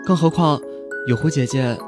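A woman playing an eager, innocent and polite young boy in a fantasy audio drama, earnest and lively. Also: low-pitched, normal speed, over music, lightly acted.

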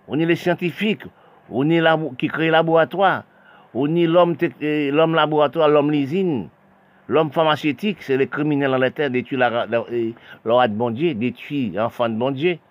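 Halting, impassioned man with restarts. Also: on the high side, dull audio. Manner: halting, repetitive, many restarts and broken phrases. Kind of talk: impassioned spoken monologue.

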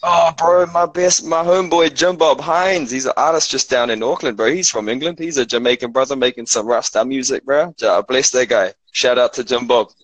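A man's voice: mid-range voice, fairly even intonation, moderate pace, hardly any pauses, dry recording, poor audio. A man from South Africa in casual chat, easygoing and slangy, with a South African accent. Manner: laid-back slangy talk, calls everyone bro. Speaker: chat participant. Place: Auckland, New Zealand.

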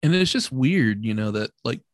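A man's voice: medium pitch, animated, normal speed, dry recording, studio quality. A man co-hosting a casual podcast, hesitant and full of fillers, laughing often, on a video call. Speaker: podcast co-host. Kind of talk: casual chat. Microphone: computer mic on video call.

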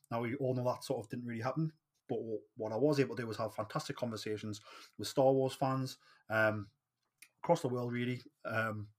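A man with a Geordie accent from north-east England, hosting a podcast in a casual, chatty interview style. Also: medium pitch. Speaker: podcast host.

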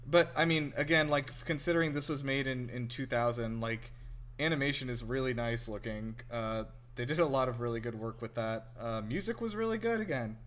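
A man's voice: medium pitch, a little fast, a bit bright. A man hosting a casual film reaction chat with wry, teasing banter. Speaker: podcast host.

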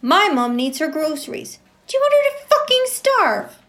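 A woman's voice: very high-pitched, very animated, moderate pace, fairly bright tone, full character voice. A woman playing a furious, foul-mouthed shopper. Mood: indignant. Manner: aggressive, swearing, threatening. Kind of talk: amateur audio drama.

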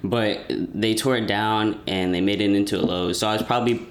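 Low-voiced relaxed young co-host. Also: a little fast. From Texas. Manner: relaxed, hesitant with ums. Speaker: podcast co-host. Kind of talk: casual podcast chat.

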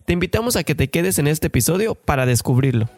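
A man's voice: on the high side, expressive, fast, darkish tone. A man co-hosts a casual podcast. He explains things in a friendly, chatty way. Faint music plays under his voice. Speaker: podcast co-host.

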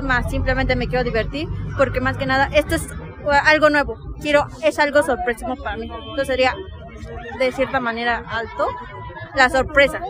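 A woman giving casual, spontaneous answers as an interviewed fan. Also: high voice. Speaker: concert fan being interviewed. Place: outdoors in a concert queue. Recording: noisy outdoor recording with traffic sounds.

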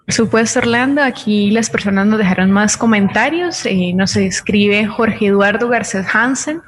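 A woman's voice: medium pitch, moderate pace, a little hiss or hum. Woman reading out listener messages. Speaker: co-host reading audience comments.

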